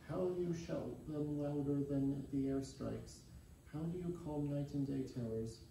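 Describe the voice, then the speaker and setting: A young adult man reading a poem aloud in a measured, solemn recitation. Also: fairly high pitch, creaky, warm tone. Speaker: poetry reader. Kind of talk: poetry recitation. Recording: very echoey, distant, faintly noisy recording.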